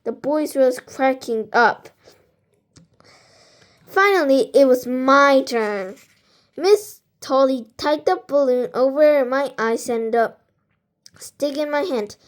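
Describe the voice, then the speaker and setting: Woman w high voice reading a kids book out loud, slow n halting, trips on words. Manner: halting, with stumbles over words. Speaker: amateur book reader. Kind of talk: reading a children's storybook aloud.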